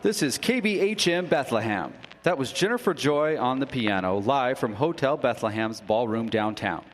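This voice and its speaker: An actor playing a polished radio station announcer who introduces news bulletins in a live radio drama. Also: male voice, medium pitch, lively intonation, normal speed, a little hiss or hum, close to an everyday voice. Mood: announcing. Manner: polished broadcast announcer delivery. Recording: live stage recording in a hall.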